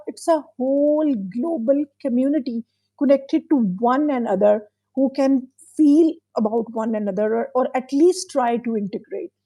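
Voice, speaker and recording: A woman's voice: high voice, warm tone, no echo, poor audio. A middle-aged woman hosting an interview whose first language is not English. She speaks in a thoughtful, hesitant way with many fillers. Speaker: podcast host. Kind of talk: conversational interview.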